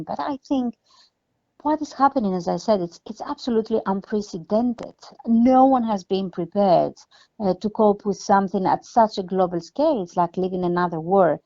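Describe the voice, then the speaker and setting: Woman, lowish pitch, earnest, measured, executive interviewee, muffled phone recording. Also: a little mellow. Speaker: film production company CEO being interviewed. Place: on a phone call.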